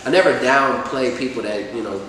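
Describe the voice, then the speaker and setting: Young dude rapping about life, chill and rambly, roomy echo, mic kinda far. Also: male voice, mid-range voice, brisk, crowd noise. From Atlanta, USA. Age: 20s. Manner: relaxed, rambling, lots of fillers. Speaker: rapper, guest. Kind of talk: casual sit-down interview. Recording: echoey room, distant mic.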